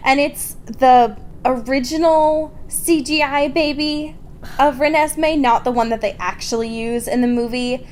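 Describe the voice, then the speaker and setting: A woman's voice: high-pitched, steady tone, unhurried. A young woman co-hosting a casual, comedic book podcast, sweary and exasperated, often doing mocking quotes. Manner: exasperated, sweary, mock-quoting. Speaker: podcast co-host. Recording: clear background noise.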